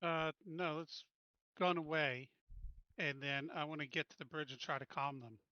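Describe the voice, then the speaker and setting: A man's voice: a little high, steady tone, normal speed, no room sound, dull audio. A man talking casually as a player in a tabletop roleplaying game. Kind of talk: casual chat.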